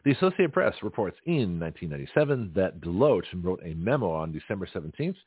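A man with a very low, gravelly and husky voice hosts a talk radio show, rambling fast and chatty while slightly hoarse from a cold. The sound is dry and narrow, like a phone line. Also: sing-song, no echo. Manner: rambling, chatty, self-interrupting. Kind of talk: casual talk radio monologue.

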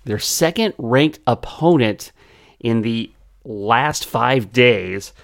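A man hosting a sports podcast, his voice a little raspy, chatting with frequent pauses and fillers. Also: mid-range voice, animated, dry recording, clean studio sound. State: slightly raspy voice.

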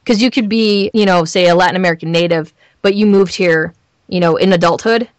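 Woman, Southern California accent, lowish pitch, candid interview chat, emphatic storyteller, voice actor, dry close sound. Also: brisk, no room sound. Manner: animated storyteller, emphatic repetition, acts out imagined lines. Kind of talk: candid, chatty interview talk.

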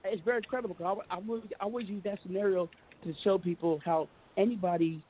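A man hosting a call-in talk radio show, talking fast and joking, often cutting in over his caller. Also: high voice, steady tone. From US West Coast. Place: home studio in the Southwest. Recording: phone-line audio with faint hiss.

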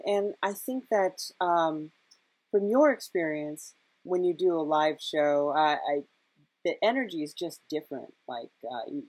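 A woman's voice: sits low, a little mellow, no room sound, rough recording. A woman hosting a casual podcast interview, feeling her way through questions with many false starts. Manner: halting, hesitant phrasing with repeated false starts.

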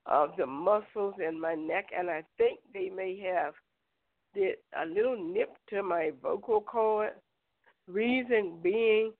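A middle-aged woman hosting a prayer show, hoarse from a cold, chatting with listeners in a folksy, direct way. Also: fairly low pitch. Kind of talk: casual prayer show chat. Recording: tinny phone line, dry.

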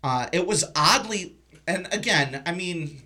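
Higher-voiced man, casual podcast host. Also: animated, a little slow, bright, forceful tone. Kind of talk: casual film discussion.

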